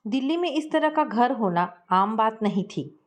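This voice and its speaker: A woman telling a scripted family story in a steady, measured way. Her voice sits a bit higher than most women's.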